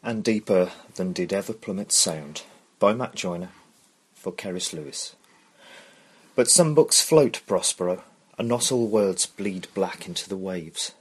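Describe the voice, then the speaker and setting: A man's voice: lowish voice, slightly rough. A man giving a literary recital of a poem. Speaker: poetry reader.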